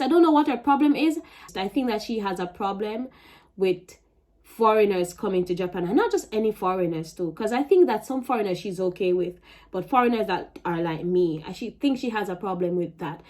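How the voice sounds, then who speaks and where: A young woman with a Jamaican accent telling a personal story in a vlog, animated and emphatic, with many restarts. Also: medium pitch. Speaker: vlogger.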